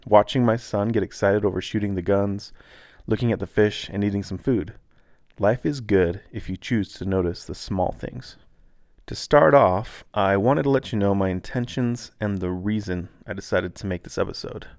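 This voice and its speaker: A laid-back man who grew up in Taiwan, hosting a podcast and calmly, earnestly reading a personal reflection. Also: lowish voice, normal speed, dry recording, clean studio sound. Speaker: podcast host.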